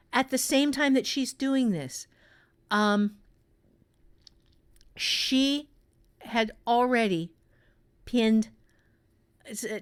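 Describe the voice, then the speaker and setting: A woman improvising a story in a conversational writing lesson, thinking aloud in a halting way with repeated phrases. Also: a little high, dry recording. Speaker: author and writing teacher.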